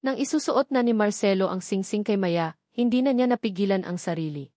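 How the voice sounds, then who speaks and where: A woman with a Filipino accent reads a scripted love story in an expressive, sentimental storytelling manner. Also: mid-range voice, a little fast, no room sound, studio quality. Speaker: story narrator.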